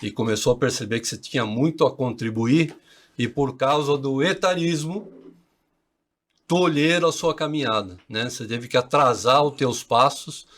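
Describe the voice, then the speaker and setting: A man's voice: mid-range voice, normal speed, a bit bright. Podcast host guy, swears a lot, slangy and emphatic, normal mid voice. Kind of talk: casual podcast banter.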